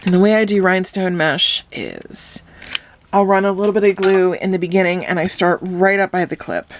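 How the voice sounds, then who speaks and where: A woman explaining a craft step by step in a calm, instructive way, her voice slightly hoarse from a cold. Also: on the low side, moderate pace, slight background noise. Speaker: craft tutorial host. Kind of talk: step-by-step craft tutorial voice-over.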